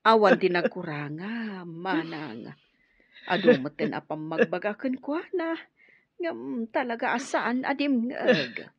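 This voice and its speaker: A woman's voice: mid-range voice, big pitch swings, slow, slightly rough, no echo, exaggerated voice. A woman playing a frank, supportive older-sister rebel comrade in an Ilocano radio drama. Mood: reassuring, firm. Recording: dull, dry radio drama audio.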